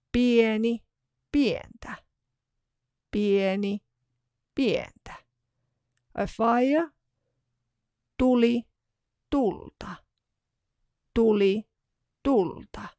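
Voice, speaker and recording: A woman narrates a scripted language lesson, saying each example word twice, slowly and deliberately. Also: fairly high pitch, mellow, no echo. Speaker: language teacher.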